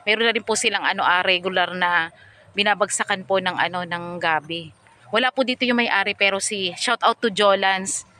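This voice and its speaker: A middle-aged woman chats her way through a walking vlog outdoors, polite and a little rambling with lots of fillers. Her voice is bright and forward. It is picked up by a phone held out on a selfie stick in an open field. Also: mid-range voice, normal speed, a little hiss or hum. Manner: polite, chatty, frequent fillers. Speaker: vlogger. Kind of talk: casual walking vlog narration.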